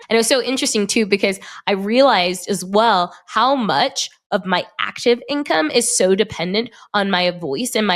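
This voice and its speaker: A young woman hosting a solo podcast in a casual, chatty way, with emphatic wording and girly slang. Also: mid-range voice. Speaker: podcast host.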